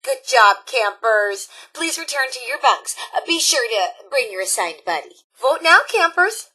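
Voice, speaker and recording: Perky, bright-voiced robot scout leader. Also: female voice, high-pitched, sing-song, measured pace, slightly rough, full character voice. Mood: perky, encouraging. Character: cheery robot scout leader telling spooky campfire tales. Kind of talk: theatrical campfire storytelling.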